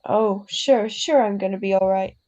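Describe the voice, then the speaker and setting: A woman playing an ailing, gentle, wise mother in a radio drama, speaking tenderly and haltingly. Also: mid-range voice, lo-fi sound, close to an everyday voice. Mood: gently reflective. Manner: tender, halting, philosophical, tags sentences with huh. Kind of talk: classic radio drama acting.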